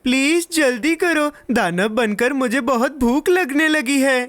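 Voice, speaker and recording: A woman voicing an eager, excitable young boy who loves food, with exaggerated expression, in a children's cartoon dub. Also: high voice, unhurried. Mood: dismayed, pleading.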